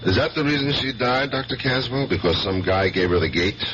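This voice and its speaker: An actor playing a skeptical police sergeant with clipped, persistent questioning in a 1970s radio drama. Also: male voice, medium pitch, lively intonation, a little fast, natural voice. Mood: probing, skeptical. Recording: dull, hummy old radio recording.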